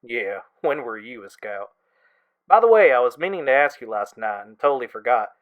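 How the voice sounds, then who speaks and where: A man playing a wry, exasperated but affectionate young friend in an audio drama, talking casually with a gently complaining manner. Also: mid-range voice, sing-song, normal speed, narrow, tinny audio, natural voice.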